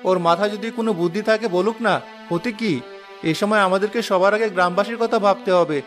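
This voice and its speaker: Cartoon role: a young village headman, voiced by a man, high-pitched, earnest, with faint music. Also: fairly even intonation, fast talker, fairly bright tone. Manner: earnest, encouraging. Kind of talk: Bengali cartoon voice acting.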